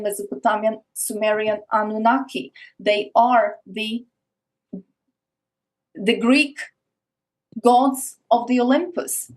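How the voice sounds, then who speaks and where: Eastern European woman, earnest and halting. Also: on the high side, darkish tone, low-quality recording. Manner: earnest, frequent restarts and repeated words. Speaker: podcast guest. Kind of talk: casual interview talk. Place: Arctic north of Norway.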